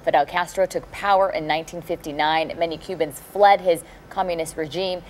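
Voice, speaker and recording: A woman's voice: on the low side, steady tone, fairly quick, a little mellow. An American woman working as a TV anchor, conducting a conversational broadcast interview in a studio.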